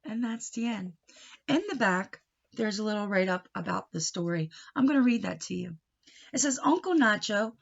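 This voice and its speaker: A woman reading a picture book aloud to children, expressive, with questioning and explanatory asides. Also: medium pitch, moderate pace, vocal fry, lo-fi sound. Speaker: storybook reader teaching children.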